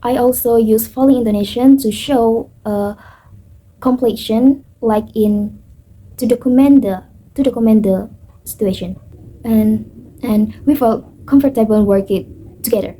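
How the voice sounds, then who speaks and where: A woman's voice: a little high, measured pace, mellow, low-quality recording. Woman. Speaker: student. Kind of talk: class presentation on intonation.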